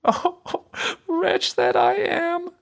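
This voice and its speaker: A haughty young fairy-tale princess, pitched extremely high. She exclaims theatrically and swoops about, spiteful and self-pitying in the way she talks. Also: animated, measured pace, creaky, heavily acted. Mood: wailing, regretful.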